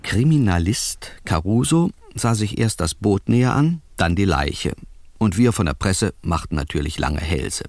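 An actor playing a wry newspaper reporter who narrates a detective radio play in a measured storytelling way. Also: male voice, deep voice, animated, a little mellow, no echo, studio quality. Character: wry male New York newspaper reporter narrating a case. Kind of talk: scripted first-person radio play narration.

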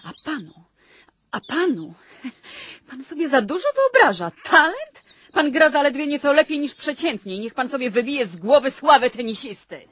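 A woman's voice: high-pitched, sing-song, moderate pace, a bit bright, no echo. An actress playing a haughty, mocking young upper-class lady in a radio drama, imperious and dismissive. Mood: mocking, scornful. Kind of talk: 1990s Polish radio drama acting.

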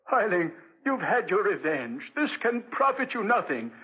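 An actor playing a frail, ruined old man with a high husky voice, melodramatic and anguished in 1940s radio style. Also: very animated, moderate pace, heavily acted. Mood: pleading. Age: older. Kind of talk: 1940s radio drama acting. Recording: dull 1940s radio recording.

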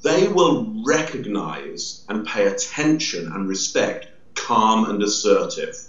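A middle-aged man explains things with lively emphasis, gesturing and moving about as he talks. His voice sounds set back from the webcam in an echoey room. The audio is dull and lo-fi. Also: on the low side, takes it slowly. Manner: emphatic, gestures a lot, stands and moves around, tags lines with "yeah?". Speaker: body language expert guest. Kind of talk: animated explanatory interview talk. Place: indoor room with large window. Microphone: video call, webcam at distance. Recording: roomy echo, distant, dull webcam sound.

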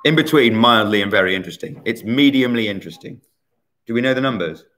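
A man in his forties hosting a casual online quiz from a bright room at home, chatty and teasing, recorded on a laptop webcam. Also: mid-range voice, animated, fast talker. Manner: chatty, teasing, self-mocking. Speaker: quiz host.

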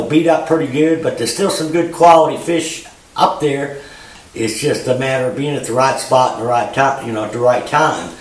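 A man in his fifties with a Missouri Ozarks accent, giving a casual, rambling fishing report full of "you know" and "uh". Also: medium pitch. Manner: plain-spoken, rambling, frequent "you know" and "uh". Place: garage with a bass boat, indoors. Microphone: far camera. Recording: roomy garage echo, distant mic.

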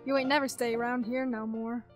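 A woman's voice: on the high side, level tone, brisk, faint music behind, natural voice. An actress playing a coy, needling young barroom girl with an American accent in an audio drama.

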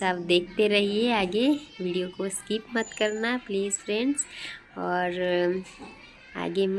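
A young woman from India narrates a home vlog in a casual way. She talks slowly at a middling pitch, with music playing clearly behind her. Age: young adult. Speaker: daily-life vlogger.

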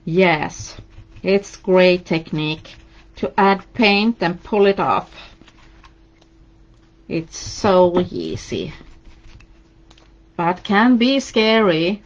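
A Swedish-accented woman talks a live audience through a craft project at her table, chatty and encouraging. Her delivery is slow and her voice sits a little low. The stream audio sounds dull and lo-fi. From Sweden. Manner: chatty, encouraging, reads out viewer comments while painting. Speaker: craft demonstrator.

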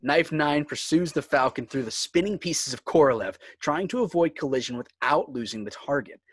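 A man's voice: on the high side, lively intonation, moderate pace, husky, no room sound, clean studio sound. A man reading scripted screenplay narration and stage directions for a table read.

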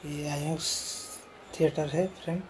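A man talks slowly and evenly as he walks through a shopping mall, filming on his phone. His voice is mellow and fairly high, and he keeps pointing things out and calling the viewers friends. Faint mall noise sits behind him. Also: flat delivery. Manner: repetitive, addresses viewers as friend. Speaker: vlogger giving a walking tour. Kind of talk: casual walking tour narration. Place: outdoors and inside a large shopping mall.

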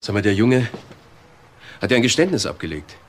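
An actor playing a blunt police detective in a crime drama, direct and insistent. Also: male voice, lowish voice, sing-song, a little fast. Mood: matter-of-fact.

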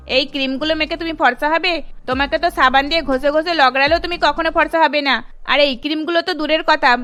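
A woman's voice: high-pitched, fairly even intonation, fairly quick, a bit bright. A woman playing a haughty, mocking young sister-in-law in a cartoon story, snide in manner, with music behind her. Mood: scornful. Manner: snide, disdainful. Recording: music under the voice.

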